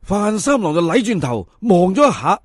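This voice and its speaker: A man tells a folk tale in colloquial Hong Kong Cantonese. His voice sits a little high and swings widely in pitch for drama. The sound is dry and close, with little room around it. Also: very animated, husky, no echo. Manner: colloquial, dramatic, drawn-out sentence particles. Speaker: storyteller. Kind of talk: animated folk tale narration.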